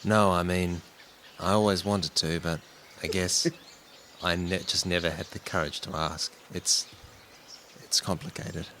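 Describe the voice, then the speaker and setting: A man's voice: low voice, fairly even intonation, a little slow, pushed and bright, slight background noise. A man playing a shy young soldier who blusters defensively in a war-film parody. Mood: bashful.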